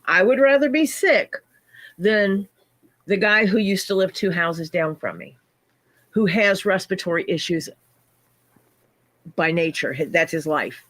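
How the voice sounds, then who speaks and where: A woman's voice: on the low side, animated, measured pace, pauses often, a bit bright, no room sound. Woman host, scratchy sick voice, chatty livestream talk, dry close mic. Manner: chatty, greets viewers by name mid-story. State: scratchy voice from illness. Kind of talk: casual livestream chat.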